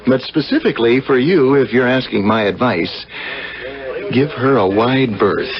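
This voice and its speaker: An actor playing a sensible, affectionate brother with an earnest bantering manner in a 1970s radio drama. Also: male voice, medium pitch, a little slow, crowd noise, lightly acted. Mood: earnest, concerned. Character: frank, affectionate brother, a sensible brain specialist. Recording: muffled old radio broadcast.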